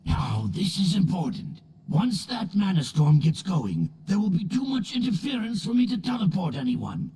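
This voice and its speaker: An eccentric, polite elven mage from a fantasy game. The voice is high for a male and very husky, with a soft mellow tone. The delivery is theatrical and expressive. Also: normal speed, few pauses, exaggerated voice. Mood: earnest, instructive. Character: eccentric, courteous male elf mage of teleportation. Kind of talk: fantasy video game voice acting.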